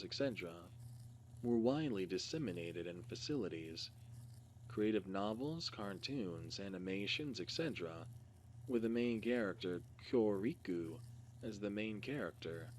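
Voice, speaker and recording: A man reads a scripted case file as narration, in a halting, word-by-word way. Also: fairly low pitch. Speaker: reader. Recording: clearly noisy recording with hum.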